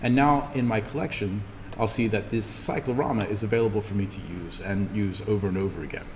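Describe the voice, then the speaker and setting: A man giving an informal in-class software tutorial as the instructor, thinking aloud haltingly as he demonstrates. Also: fairly low pitch. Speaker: college instructor. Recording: reverberant room, clearly noisy background.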